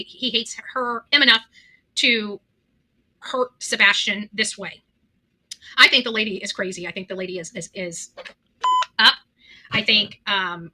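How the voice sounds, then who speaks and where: A woman's voice: medium pitch, sharp tone, low-quality recording. A woman giving unscripted, blunt and accusatory opinion commentary as a vlog host.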